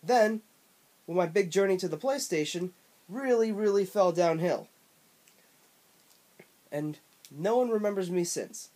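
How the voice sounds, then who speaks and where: A man's voice: high voice, animated, measured pace, long pauses, dark tone. A man voicing an obnoxious, boastful male cartoon bobcat, a washed-up video game mascot with a grating voice. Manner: boastful and chatty.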